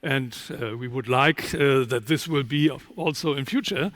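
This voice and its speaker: A man's voice: mid-range voice, unhurried, a bit bright, no room sound, studio quality. A man from Germany with a German accent, answering as a panelist in a discussion.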